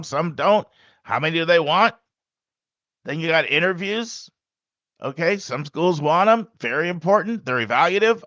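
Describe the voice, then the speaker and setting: A man hosting a podcast, speaking loudly and emphatically in a casual monologue with frequent asides to the listener. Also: on the high side, no echo, lo-fi sound. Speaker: podcast host and college admissions advisor.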